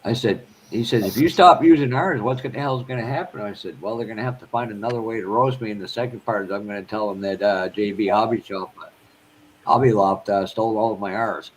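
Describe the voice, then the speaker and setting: A man from New Hampshire trading stories in a casual group chat, talking quickly and playfully. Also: on the low side, slight background noise, poor audio. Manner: animated storytelling, quick and playful.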